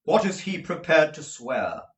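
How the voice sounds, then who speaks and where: A man voicing a stern, blustering middle-aged male lawyer who talks in a booming, domineering way. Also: sing-song, measured pace. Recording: roomy audio from a distant mic.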